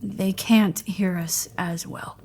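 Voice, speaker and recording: A guarded traveler who is polite and careful with strangers. Her voice is mid-pitched with a crackly fry, and her delivery stays understated, as in a naturalistic audio drama. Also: fairly even intonation, slow, darkish tone, exaggerated voice. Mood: hushed, uneasy. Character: cautious, guarded, polite young traveler avoiding questions.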